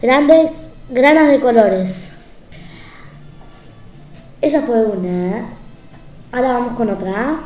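High, mellow woman in echoey room. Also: slow pace, long pauses, faint music behind. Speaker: radio show host. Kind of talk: reading out a recipe on a radio show.

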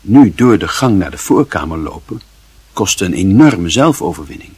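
A man's voice: low voice, very animated, moderate pace, darkish tone, husky, dry recording. A man reading literary fiction as a narrator, in a dramatic, scripted storytelling style.

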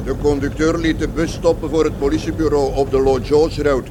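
A man's voice: level tone, fairly quick, natural voice. A man playing a droll passenger who speaks in a dry, matter-of-fact way in a radio play. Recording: very noisy, echoey radio play with engine rumble.

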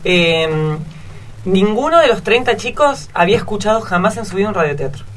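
A woman's voice: mid-range voice, very animated, normal speed. A woman with an Argentine accent, a schoolteacher talking casually and telling anecdotes as a guest in a radio studio. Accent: Argentine Spanish. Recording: distant mic, faint background noise.

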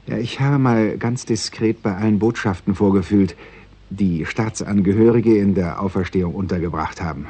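A man's voice: on the low side, warm tone, slightly rough. An actor playing a sly, self-satisfied male intelligence chief in a 1960s German radio play, wry and teasing. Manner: wry, teasing, deliberately drawn-out.

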